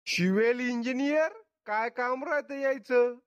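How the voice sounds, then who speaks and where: A man's voice: very high-pitched, measured pace, clean tone, dry recording. A man voicing a blunt, grumpy old village grandfather with a mocking drawl in a cartoon comedy. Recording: dull, lo-fi cartoon audio.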